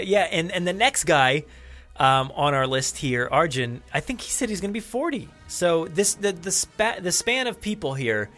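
A man in his thirties hosting a podcast, talking in an excited, rambling way with lots of fillers and restarts. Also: medium pitch. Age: 30s. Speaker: podcast host. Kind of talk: casual podcast chat.

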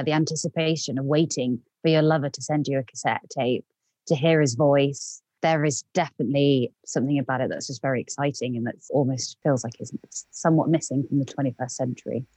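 Woman, very low voice, dry sound, reflective interview guest. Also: warm tone, no room sound. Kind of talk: casual interview.

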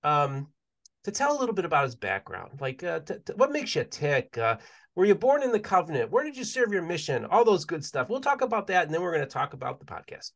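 A man hosting a podcast interview, talking quickly and casually, with many stammers and restarts. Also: on the high side, wildly swooping pitch, creaky. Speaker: podcast host.

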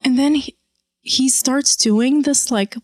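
Animated, profane woman storyteller. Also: high-pitched, slow, no room sound, studio quality. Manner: animated, profane, acts out quotes. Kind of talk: casual storytelling chat.

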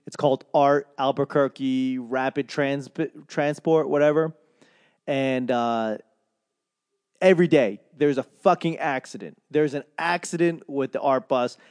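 A young comedian from Boston giving a casual, profane, rambling rant in a solo monologue. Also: male voice, medium pitch, a little mellow, dry recording, studio quality. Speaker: comedian and podcaster.

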